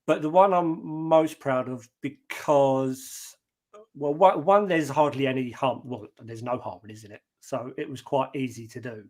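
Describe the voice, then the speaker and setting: A man with a deep talking voice answers interview questions about his singing. He speaks in a relaxed, plain-spoken way, often pausing on an um before he finds his point, and his pitch sits around the middle for a man. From Britain. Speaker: guest singer.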